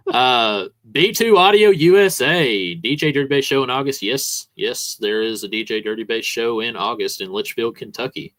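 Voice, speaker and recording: A man's voice: mid-range voice, lively intonation, normal speed, fairly bright tone, no echo. A man hosting a car audio podcast, joking around and plugging his show in casual chat. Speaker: podcast host.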